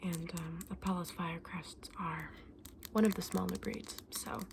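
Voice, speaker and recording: A woman whispering breathily in a gentle, unhurried ASMR roleplay as a shopkeeper talking to a customer. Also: fairly low pitch, little pitch movement, creaky, background noise. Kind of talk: whispered ASMR roleplay as a dragon egg saleswoman.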